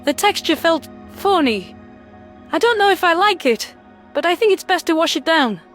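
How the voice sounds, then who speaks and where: A tiny alien rodent female for a sci-fi audio drama. The voice is extremely high, cheeky and teasing, with an affectionate streak. Music plays behind it. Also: lively intonation, normal speed, fairly bright tone. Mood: tentative.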